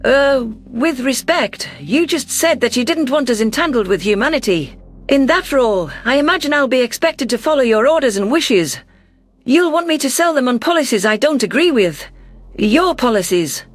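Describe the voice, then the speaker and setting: A performer voicing an earnest, wary deposed alien governor who narrates in a measured, formal, reflective way. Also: high voice, lively intonation. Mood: guarded, reluctant. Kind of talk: scripted first-person audio story narration.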